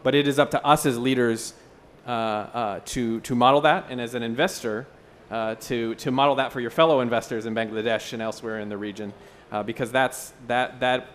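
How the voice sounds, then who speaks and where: A man speaking as a conference panelist, explaining ideas in a measured, articulate way with asides. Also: medium pitch, slight background noise. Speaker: panel speaker from an entrepreneurship foundation. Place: conference session room.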